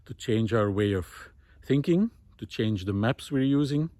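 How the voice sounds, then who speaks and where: A man giving an unscripted, reflective monologue while out walking, thoughtful and hesitant, pausing often as he finds his words. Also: lowish voice, animated, warm tone, no room sound. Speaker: host of a walking audio diary, former teacher. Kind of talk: unscripted reflective spoken monologue recorded on a walk. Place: outdoors, walking.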